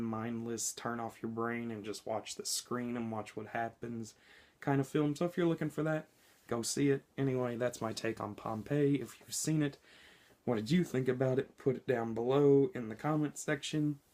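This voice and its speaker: A man with a hoarse, slightly scratchy voice from being sick, chatting informally through a movie review with frequent ums and self-corrections. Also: mid-range voice, a little mellow. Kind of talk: casual spoken movie review.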